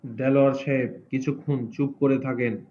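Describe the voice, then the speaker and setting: A man's voice: medium pitch, fairly even intonation, normal speed. A man narrating a scripted cartoon story as its storyteller. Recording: dull, lo-fi sound under loud music. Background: music.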